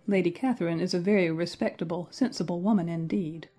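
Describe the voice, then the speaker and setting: A woman voicing a sensible, tactful young married gentlewoman in a dramatized audiobook, composed and polite. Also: lowish voice, fast, faint music behind, natural voice. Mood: tactful, approving. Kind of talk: dramatized audiobook character acting.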